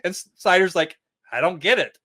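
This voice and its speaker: A man's voice: high voice, animated, normal speed, pushed and bright. A man chatting casually as a podcast guest, explaining things in a rambling, stop-start way. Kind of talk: casual chat about a TV episode.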